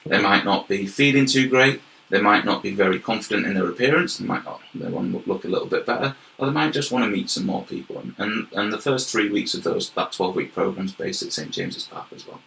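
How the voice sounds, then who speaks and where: Brief: young Yorkshire man, interview guest, earnest and explanatory, gravelly tone, roomy echo. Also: on the low side, quick pace, a bit bright, slight background noise. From York, England. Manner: earnest, explanatory, repeats words as he goes. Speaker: guest, health and wellbeing manager. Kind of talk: casual interview chat. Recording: roomy echoey recording.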